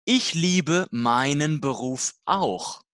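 A speaker reading a scripted language-learning dialogue slowly and deliberately, in short, simple learner-level sentences. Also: mid-range voice, a bit bright, no room sound, studio quality.

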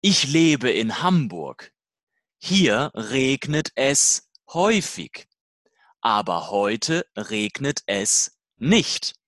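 Language-course dialogue reader: very slow, deliberate delivery, lively pitch movement. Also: no echo, clean studio sound. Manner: slow, deliberate, simple learner-level sentences.

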